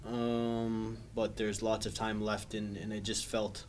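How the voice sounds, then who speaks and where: A young man in his twenties, a soccer player answering press questions after a match in a measured, thoughtful way with frequent ums. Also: on the low side, flat delivery. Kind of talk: post-match press interview. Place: small indoor press room, backdrop wall.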